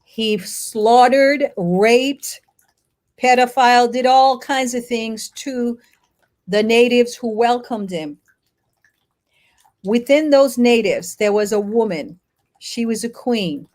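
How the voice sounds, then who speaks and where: A middle-aged woman born in Haiti and raised in the United States, explaining history as an interview guest in emphatic, short phrases broken by pauses. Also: fairly high pitch, darkish tone. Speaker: guest speaker, lawyer and activist.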